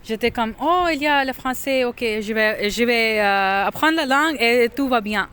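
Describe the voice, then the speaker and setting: A woman's voice: on the high side, a bit bright, clean tone. Hesitant young woman. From Pakistan. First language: Urdu. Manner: hesitant, halting, searches for words with many restarts. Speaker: interview guest. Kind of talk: casual interview. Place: outdoors on a city street.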